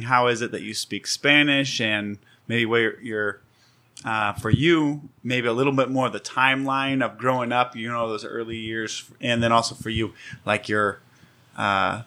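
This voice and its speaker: A man's voice: medium pitch, pushed and bright. A man co-hosting a casual interview, putting curious questions in hesitant, roundabout phrasing. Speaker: co-host asking questions.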